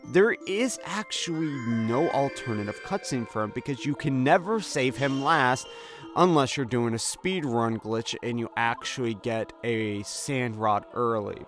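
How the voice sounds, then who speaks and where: Male let's play host, medium pitch, chatty and informal commentary with game music underneath. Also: a bit bright. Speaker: host of a game playthrough series. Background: video game music.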